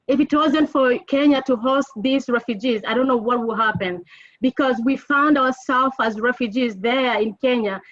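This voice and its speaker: A woman from the Democratic Republic of Congo giving an earnest webinar presentation, speaking with frequent pauses and fillers. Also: fairly high pitch. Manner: earnest, grateful, halting with fillers. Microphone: video call. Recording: lo-fi video call audio.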